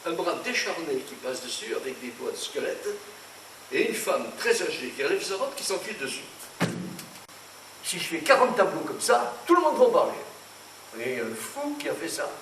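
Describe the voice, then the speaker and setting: Animated older French man lecturing. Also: fairly high pitch. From France. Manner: animated, indignant, digressive storyteller. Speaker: painter giving a talk. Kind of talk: rambling lecture. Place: conference hall. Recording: echoey room, distant mic, noisy.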